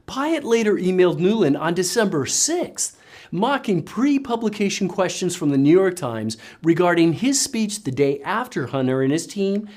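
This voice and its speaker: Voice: medium pitch, darkish tone. A narrator reading scripted political commentary with pointed, sardonic emphasis.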